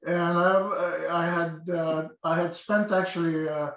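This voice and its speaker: An older man from Egypt talks in a slightly higher voice, hesitating with many fillers and restarts. The recording sounds roomy and a little dull. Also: level tone, a little slow, fairly bright tone. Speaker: interview guest. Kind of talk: casual interview. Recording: echoey dull-sounding room.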